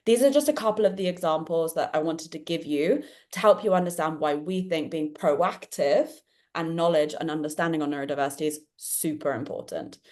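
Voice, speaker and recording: A woman hosting an online panel discussion, talking in an energetic, chatty and jokey way. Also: on the low side. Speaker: panel host.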